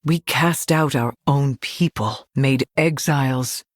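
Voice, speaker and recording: Devout elven female leader, fantasy game, measured delivery, slightly rough low voice, regal, solemn. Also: no room sound, studio quality, heavily acted. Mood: solemn resolve. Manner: slow, measured, earnest oration.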